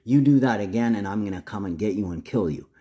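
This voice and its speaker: A man's voice: lowish voice, lively intonation, quick pace, mellow. A man talking casually to the camera in a rambling, agitated monologue full of swearing.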